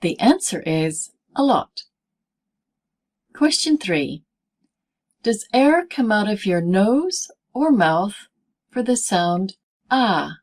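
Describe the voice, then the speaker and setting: A woman presenting a scripted pronunciation lesson as a teacher, speaking in deliberate, clearly segmented phrases. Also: mid-range voice, sing-song, darkish tone. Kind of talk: scripted instructional narration in easy English.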